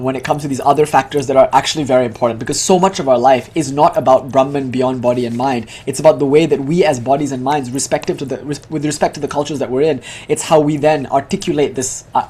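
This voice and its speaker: Male teacher from Malaysia, quick eager talker, slightly husky voice. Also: medium pitch, darkish tone. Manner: fast, eager explaining with frequent "like". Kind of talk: lecturing a live group.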